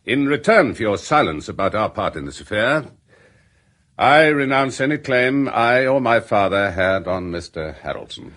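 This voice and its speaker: A man playing an intense young treasure seeker in a radio drama, speaking eagerly and excitably. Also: fairly low pitch, normal speed. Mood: earnest.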